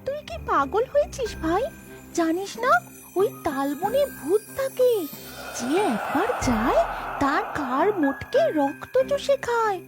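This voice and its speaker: A woman's voice: very high-pitched, very animated, unhurried, over loud music, voice pushed up, heavily acted. A woman voicing a fearful, bossy older village sister in a children's cartoon, scolding in alarm. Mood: frightened scolding. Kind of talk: Bengali children's cartoon voice acting.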